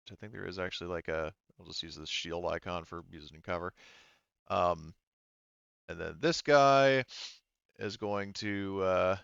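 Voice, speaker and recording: A man with a fairly deep, clean voice runs a tabletop game. He thinks out loud in halting bursts and swears casually. The sound is close and dry but slightly dull. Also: creaky, smooth, no echo. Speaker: game master. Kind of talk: running a tabletop roleplaying game, casual narration.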